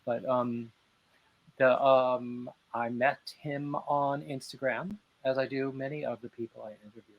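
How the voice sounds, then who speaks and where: A man's voice: medium pitch, fairly even intonation, unhurried, highs cut off. A man hosting an interview over a video call, chatty and playful, with plenty of fillers. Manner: chatty, jokey, many fillers. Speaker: interview show host. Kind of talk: casual chat interview.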